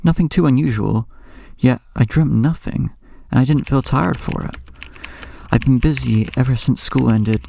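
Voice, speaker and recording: A gravelly, creaking young male storyteller played by an actor with clear music underneath. He is haunted and anxious, narrating a horror audio drama in measured, brooding, ornate sentences. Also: medium pitch, mellow, lightly acted. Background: clear background music.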